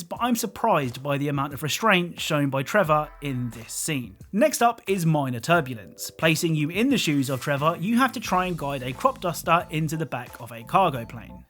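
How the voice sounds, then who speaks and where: A man's voice: on the high side, a bit bright. A man narrating a scripted video game explainer as a YouTuber, with dry humour.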